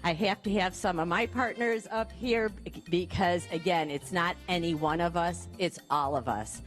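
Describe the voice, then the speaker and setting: A woman giving a heartfelt thank-you speech to staff at a gathering, slightly halting in places. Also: lowish voice, faint music behind. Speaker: airport director giving a speech.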